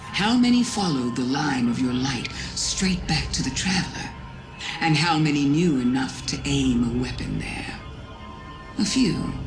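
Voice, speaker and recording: A woman voicing a cunning, taunting alien witch-god in a theatrical, insinuating video game monologue full of pointed pauses. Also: very deep voice, heavily acted. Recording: echoing voice under prominent music. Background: music.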